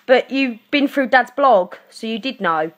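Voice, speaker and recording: Young woman, higher-pitched and blunt. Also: expressive, unhurried. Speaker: client of social services, a mother. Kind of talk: phone conversation.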